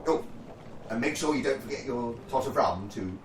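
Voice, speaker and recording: An actor playing a jolly, pompous upper-class British army captain in a radio play, with plummy, politely hesitant speech. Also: male voice, mid-range voice, normal speed, close to an everyday voice. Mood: businesslike. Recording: roomy, distant mic, faint noise.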